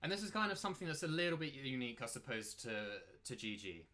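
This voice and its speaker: Male poker streamer, mid-pitched, bright forceful tone, casual rambling commentary with emphatic rhetorical questions. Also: big pitch swings, quick pace. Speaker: poker player and coach commentating his own play.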